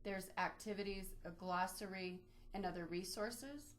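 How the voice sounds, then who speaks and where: A woman's voice: mid-range voice, unhurried. A woman presenting an instructional screen-share walkthrough, explaining each step informally as a webinar trainer. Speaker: librarian presenting a database tutorial.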